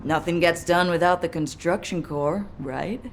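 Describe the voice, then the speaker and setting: A low-pitched woman soldier from a video game. She is blunt, weary and defiant, set on revenge. Faint noise sits behind the voice. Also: a little slow. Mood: exasperated. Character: defiant, revenge-hungry female soldier with new augmentation. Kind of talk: dramatic video game voice acting.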